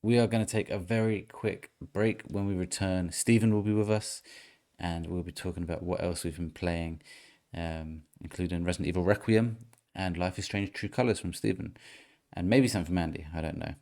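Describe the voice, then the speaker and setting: A man with a very low, gravelly voice hosts a relaxed gaming podcast. He runs a guessing game, reads out clues in a steady way and laughs along with his co-hosts. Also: a little fast. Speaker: podcast host. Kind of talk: casual podcast chat, quiz host.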